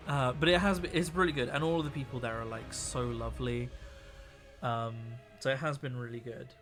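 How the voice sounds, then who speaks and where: A man's voice: medium pitch, fairly even intonation, moderate pace, pauses often, fairly bright tone, soft background music. A young man live-streaming miniature painting, chatting in a rambling way with a voice worn out from a week of talking. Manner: rambling, chatty, restarts sentences. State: tired. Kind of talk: casual live-stream chat.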